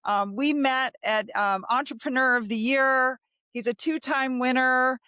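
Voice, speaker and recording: A woman's voice: sits high, fairly even intonation, measured pace, sharp tone, clear, resonant tone, no room sound. A woman hosting a podcast interview, chatty and enthusiastic, with frequent fillers and restarts. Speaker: podcast host. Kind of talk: interview, casual chat.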